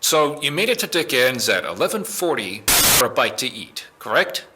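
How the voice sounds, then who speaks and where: A man's voice: medium pitch, sing-song, moderate pace, heavy background noise, close to an everyday voice. A man playing a skeptical police interrogator who asks pointed, clipped questions in an audio drama. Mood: probing. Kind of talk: naturalistic audio drama acting.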